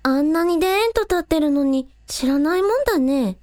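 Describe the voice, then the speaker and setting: High-pitched, plucky young woman voiced by an actress. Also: expressive, brisk, darkish tone. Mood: pragmatic, resigned. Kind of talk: amateur radio drama dialogue.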